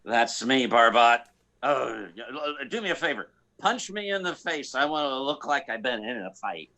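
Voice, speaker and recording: A man's voice: medium pitch, expressive, moderate pace, sharp tone, slight background noise. A man playing a boozy, slovenly, wisecracking space pilot in a comic radio play, stammering and slurring his words. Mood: reckless, merry. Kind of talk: comic old-time-radio-style acting.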